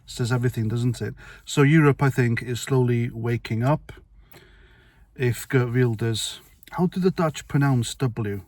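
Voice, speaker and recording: Middle-aged male vlogger, mid-pitched, dry sound, rambling and sweary. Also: measured pace, darkish tone, no echo. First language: Welsh. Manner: blunt, rambling, sweary, with restarts. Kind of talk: casual opinion monologue to camera.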